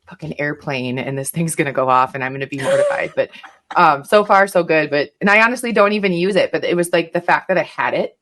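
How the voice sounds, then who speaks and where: A woman's voice: low-pitched, animated, fast talker, a bit bright. A woman speaking as a podcast guest, sharing personal stories in an informal, exaggerating way. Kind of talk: casual conversational storytelling.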